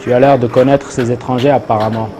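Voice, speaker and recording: A man's voice: medium pitch, fairly even intonation, quick pace, very noisy, lightly acted. A man playing an arrogant, worldly rich man with a condescending, boastful manner in a religious cartoon dub. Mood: dismissive. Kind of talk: dubbed religious cartoon acting.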